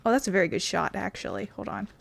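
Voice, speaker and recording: A woman's voice: mid-range voice, big pitch swings, quick pace, creaky. A woman giving casual let's play commentary in offhand, wry asides. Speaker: gamer playing and commenting on a game.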